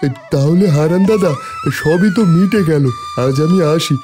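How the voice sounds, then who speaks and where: A man's voice: on the high side, moderate pace, warm tone, faint music behind, lightly acted. A man voicing a chatty, helpful younger village friend in a cartoon, with a familiar manner. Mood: friendly. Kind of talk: cartoon voice acting.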